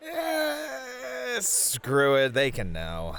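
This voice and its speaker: A man's voice: sits high, huge pitch swings, drawn-out words, a bit bright, heavily acted. A man playing a bashful yet flirty male bunny host who gets easily flustered, in an ASMR roleplay. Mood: sheepish. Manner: flustered, hesitant, playfully protesting.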